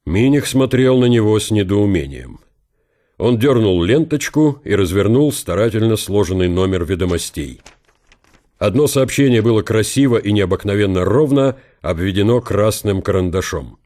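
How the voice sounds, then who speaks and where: A man's voice: on the low side, animated, normal speed, mellow. A man reading literary narration for a historical radio drama in a scripted style.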